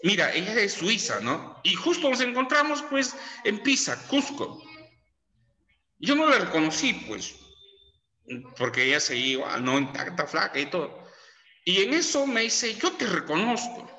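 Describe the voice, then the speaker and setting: A man's voice: sits high, very animated, normal speed, sharp tone. Middle-aged Peruvian male teacher, chatty lecture, reverberant classroom sound. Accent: Peruvian Spanish. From Peru. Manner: chatty, lots of tag questions and fillers. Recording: echoey room with long reverb.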